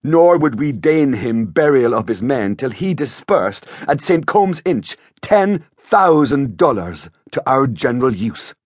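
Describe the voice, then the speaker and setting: A man's voice: medium pitch, very animated, unhurried, few pauses, breathy edge, no echo, studio quality. A man voicing a proud noble thane, declaiming news of war urgently in Shakespearean verse. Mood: triumphant. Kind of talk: dramatized Shakespeare verse audiobook.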